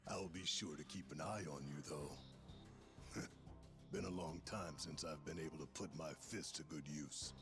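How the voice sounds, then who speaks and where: Smug yakuza boxer boss, low and croaky, taunting, loud game score behind. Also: male voice, over music. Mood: smug menace. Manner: taunting, unhurried. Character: cocky, menacing male yakuza patriarch and champion boxer. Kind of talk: dramatic video game voice acting.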